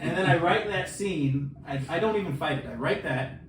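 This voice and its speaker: A man's voice: fairly high pitch, animated, moderate pace. A man chatting casually as a podcast co-host, joking and self-deprecating about his own writing. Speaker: podcast co-host and fiction writer. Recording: roomy, distant mic, clear background noise.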